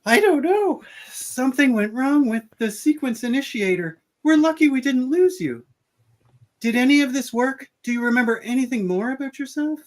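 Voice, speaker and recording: A woman playing a concerned female starship doctor in an amateur podcast radio play, with an animated manner. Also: high-pitched, normal speed. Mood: alarmed.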